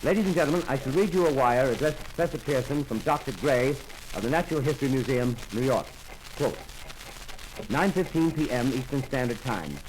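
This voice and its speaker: Actor playing an earnest 1930s radio reporter. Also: male voice, medium pitch, lively intonation, a little hiss or hum, close to an everyday voice, poor audio. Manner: brisk, descriptive, polite. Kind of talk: 1930s radio drama acting.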